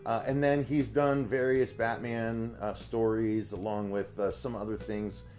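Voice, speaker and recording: A man's voice: fairly low pitch, little pitch movement, a little slow, darkish tone, soft background music. A man in his thirties with an American accent, co-hosting a conversational comic book review. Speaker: review show co-host. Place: small indoor room lined with comic shelves.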